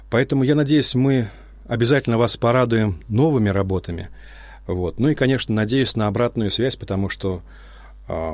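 A man with a fairly low voice addresses listeners without a script. He is earnest and thoughtful but hesitant, pausing and restarting often. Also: darkish tone, dry recording. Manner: hesitant, with frequent filler sounds and restarts. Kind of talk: unscripted spoken address to listeners.

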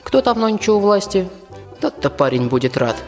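A man's voice: sits high, sing-song, normal speed, a little mellow. A man playing a plain-spoken, cheeky peasant soldier in a rhymed fairy-tale radio play. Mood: cheeky, defiant. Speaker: student radio-play actor. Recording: reverberant hall, music underneath.

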